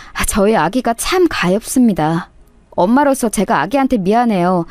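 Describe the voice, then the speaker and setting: A woman's voice: fairly high pitch, darkish tone, dry recording. A woman voicing a wronged young wife and mother, indignant and confrontational, in a dramatized story. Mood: wounded sarcasm. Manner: confrontational, wounded. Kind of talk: dramatized radio story dialogue.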